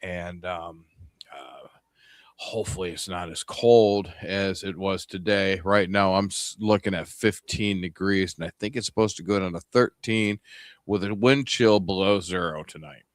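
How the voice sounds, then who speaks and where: Rambling low man with an upstate New York accent. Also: a little slow, no echo, studio quality. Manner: rambling, with many ums and restarts. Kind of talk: casual chat.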